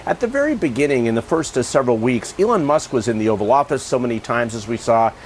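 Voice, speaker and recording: A man's voice: mid-range voice, expressive, fast, a little hiss or hum, poor audio. An American man reporting live as a television news correspondent.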